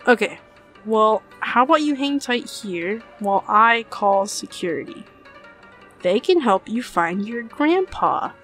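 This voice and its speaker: A woman voicing an apathetic fast-food cashier in a cartoon comic dub, weary and unimpressed. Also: fairly high pitch, faint music behind. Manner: flat, hesitant, unimpressed.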